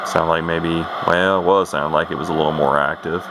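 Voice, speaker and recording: A man giving a casual, unscripted equipment walkthrough in a halting, explanatory way. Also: deep voice, steady tone. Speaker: radio hobbyist demonstrating equipment. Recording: heavy radio static and background noise.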